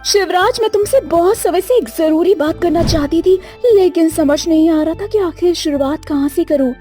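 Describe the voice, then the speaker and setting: A woman's voice: very high-pitched, moderate pace, mellow, faint music behind, voice pushed up. A woman voicing a nervous, lovestruck young female serpent in a cartoon story, hesitant and earnest. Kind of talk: Hindi cartoon story acting.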